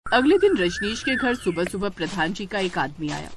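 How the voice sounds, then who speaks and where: A woman's voice: medium pitch, very animated, normal speed, slight background noise. A woman reading scripted narration for a family drama story.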